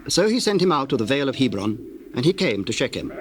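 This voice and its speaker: A man narrating scripture from a script in a solemn, measured, formal way. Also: mid-range voice, big pitch swings, noisy background.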